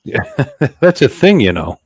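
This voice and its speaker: A middle-aged man chatting as a livestream host, with a very lively, swooping delivery. He rambles playfully and jokes around casually, and the sound is close and dry. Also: mid-range voice, a little slow, husky, no room sound. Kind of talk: casual joking livestream chat.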